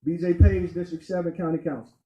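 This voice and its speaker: A man speaking as a candidate at a community meeting, emphatic and deliberate, pausing on key points. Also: fairly high pitch, little pitch movement, dark tone, dull audio. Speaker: county council candidate. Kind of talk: campaign speech at community meeting. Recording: echoey meeting room.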